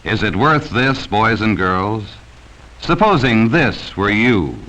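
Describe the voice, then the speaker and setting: A man's voice: lowish voice, lively intonation, takes it slowly, a bit bright, low-quality recording. An American man narrating a 1940s educational safety film from a script, speaking sternly and cautioning children directly, on a vintage film soundtrack.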